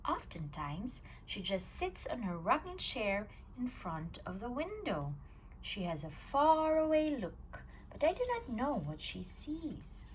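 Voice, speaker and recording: A woman reading a children's picture book aloud at storytime, telling it with an expressive, swinging voice. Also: a little high, slow, a little hiss or hum, rough recording. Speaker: storytime reader.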